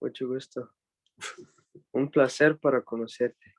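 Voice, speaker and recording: A man's voice: mid-range voice, steady tone, a little slow, no room sound. A man from Bulgaria making casual introductions as a newcomer to a group. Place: online video meeting.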